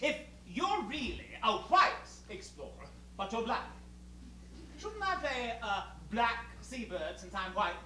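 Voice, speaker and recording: A man's voice: extremely high pitch, very animated, bright, forceful tone, heavily acted. An actor playing a stubborn, irritable boss in a satirical stage comedy, a man who blusters, halts and argues. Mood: flustered. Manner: blustering, halting, argumentative. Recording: echoey stage recording with faint hum.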